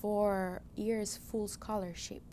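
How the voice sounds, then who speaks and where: A woman's voice: mid-range voice, flat delivery, takes it slowly, mellow, slight background noise. A young woman, a student violinist answering interview questions. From Romania. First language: Romanian. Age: young adult.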